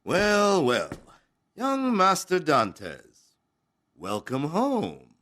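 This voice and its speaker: An actor playing a sly, grasping innkeeper in an audio drama, falsely friendly and insinuating with drawn-out courtesy. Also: male voice, fairly high pitch, wildly swooping pitch, sharp tone, heavily acted.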